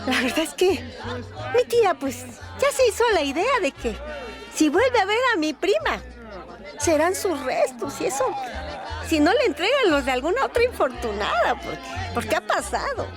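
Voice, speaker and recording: Radio drama role: chatty folksy waitress and would-be singer, very high, animated delivery, music underneath. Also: female voice, normal speed, crowd noise. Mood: worried, gossipy. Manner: colloquial, folksy, giggly, rambling. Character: chatty, giggly barroom waitress and aspiring singer. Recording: music bed behind the voice.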